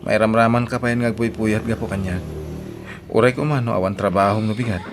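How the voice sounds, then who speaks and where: A man's voice: on the low side, brisk, noisy background, natural voice. A man with an Ilocano accent acting a shy, polite bar singer in an audio drama. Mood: bashful, polite.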